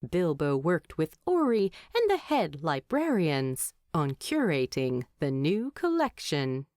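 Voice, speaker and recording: A woman narrator reading fan fiction aloud in an expressive storytelling style. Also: sits low, slow, no room sound, clean studio sound. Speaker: audiobook narrator.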